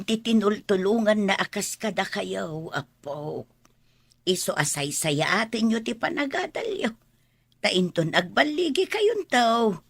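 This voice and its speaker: A woman playing a caring, gentle woman of a humble family in an Ilocano radio drama, husky and tender. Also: mid-range voice, no room sound, heavily acted.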